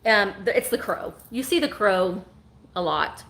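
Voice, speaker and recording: Lively, bright-voiced woman in her forties. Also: medium pitch, a little slow, gravelly. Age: 40s. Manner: chatty, joking, animated. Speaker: online vintage seller. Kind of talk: live sale chat.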